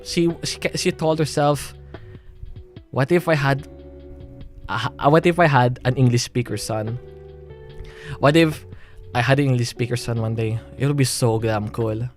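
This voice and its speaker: A man's voice: mid-range voice, a little slow, pauses often, faint music behind. A young man from the Philippines with a Filipino accent, talking on a livestream in a rambling way, with many restarts and nervous laughs. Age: young adult. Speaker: streamer. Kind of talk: emotional livestream monologue.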